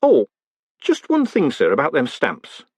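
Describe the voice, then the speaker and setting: A man's voice: fairly high pitch, huge pitch swings, a little slow, darkish tone, slightly rough, no room sound, pitched above the performer's own voice, exaggerated voice. A male audiobook reader plays a short, bird-like mayor of a provincial town. He is nervous yet chummy, speaking in folksy, deferential phrases. The voice sits raised and swings widely, in a very dry recording. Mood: hesitant, wheedling. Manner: folksy, deferential, repetitive colloquial grammar.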